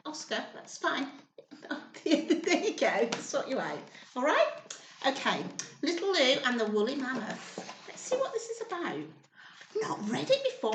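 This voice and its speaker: Animated high-voiced woman reading to children. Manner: encouraging, expressive, often asking the children questions. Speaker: storyteller at a childcare group. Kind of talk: animated read-aloud for small children. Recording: roomy echo, faint background noise.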